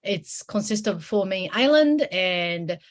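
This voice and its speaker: A woman's voice: medium pitch, lively intonation, takes it slowly. Japanese-accented woman, casual panel speaker. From Tokyo, Japan. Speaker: pastor, panelist. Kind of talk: casual explanation.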